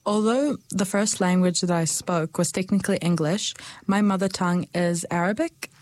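A young woman, speaking earnestly and thoughtfully about her heritage, with small pauses and restarts. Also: on the low side, a little mellow, no room sound. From New Zealand. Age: young adult. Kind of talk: personal reflection.

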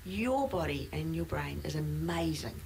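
A woman's voice: sits low, lively intonation, unhurried, gravelly, a little mellow, slight background noise, poor audio. A middle-aged woman, a nurse and clinical manager, co-hosting a casual podcast and explaining things in an easy, chatty way.

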